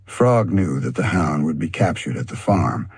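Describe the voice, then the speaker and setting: Deep, very husky male storyteller. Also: expressive, normal speed, darkish tone, dry recording. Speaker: audiobook narrator. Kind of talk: scripted storybook narration.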